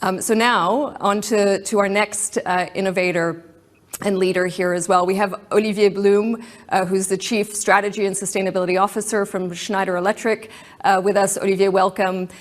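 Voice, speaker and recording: A woman moderating a forum panel, summing up the last answer and introducing the next speaker in a smooth, measured way. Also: medium pitch, steady tone.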